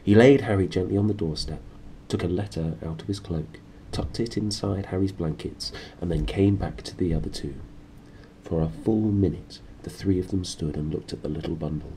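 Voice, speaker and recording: A man narrating a storybook in a lively way, with informal, playful asides to his listeners. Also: low voice, normal speed, slight background noise, poor audio. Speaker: reader, host of a read-aloud series.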